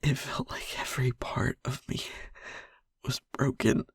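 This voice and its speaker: A man's voice: mid-range voice, fairly even intonation, no room sound, studio quality. Man acting a whispery, haunted vampire boyfriend. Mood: anguished. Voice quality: breathy, whispery. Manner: halting, hushed, pausing mid-sentence. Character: traumatised, guilt-ridden young male vampire boyfriend. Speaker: audio roleplay voice actor. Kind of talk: intimate audio roleplay monologue.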